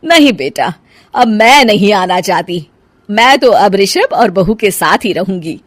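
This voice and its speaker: A woman's voice: medium pitch, animated, measured pace, no echo. A woman voicing an older, emotional mother-in-law in a cartoon story, scolding and plaintive by turns. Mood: firm. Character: older stubborn, emotional mother-in-law pining for her son. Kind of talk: animated cartoon story dialogue.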